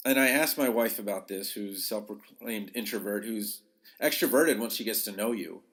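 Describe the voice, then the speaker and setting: A man talking informally as a podcast host and coach, giving advice in a conversational, emphatic way. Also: medium pitch. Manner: conversational, emphatic, acts out short quotes. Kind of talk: informal advice talk to listeners.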